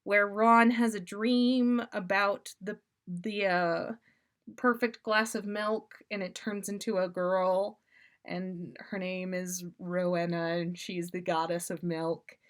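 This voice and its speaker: A woman's voice: mid-range voice, slow pace. A woman hosting a livestream, reading a story aloud in an amused, casual yet theatrical way. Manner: amused, expressive delivery. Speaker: podcast host.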